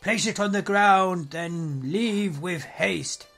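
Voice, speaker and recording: A storyteller voicing a mischievous boy who pretends to be a terrible troll, speaking in a loud, threatening boom. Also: slow pace, vocal fry, soft background music, full character voice.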